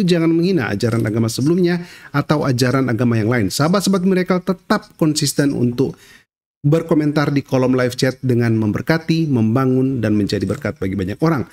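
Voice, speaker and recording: A man's voice: medium pitch, darkish tone. Middle-aged man hosting. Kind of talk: presenter announcements.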